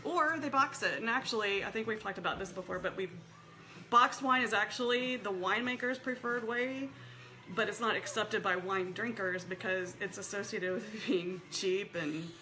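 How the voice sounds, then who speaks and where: A woman's voice: medium pitch, moderate pace, sharp tone, rough recording. A woman hosting a casual wine-tasting chat, talking in a rambling, friendly way with frequent laughs and asides. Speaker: show host.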